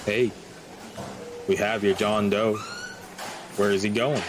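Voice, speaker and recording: A man's voice: lowish voice, level tone, slow, background noise. An actor playing a matter-of-fact male paramedic delivering a patient, in an audio drama. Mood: blunt.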